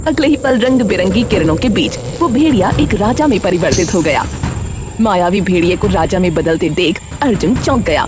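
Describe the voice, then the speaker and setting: A woman's voice: medium pitch, expressive, normal speed, background noise. A woman reading scripted narration for a story as its narrator.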